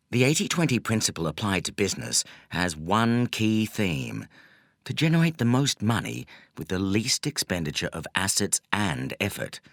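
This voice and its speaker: A man reading a nonfiction audiobook as narrator, in a scripted style with measured, deliberate phrasing. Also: lowish voice, a bit bright, no room sound, clean studio sound.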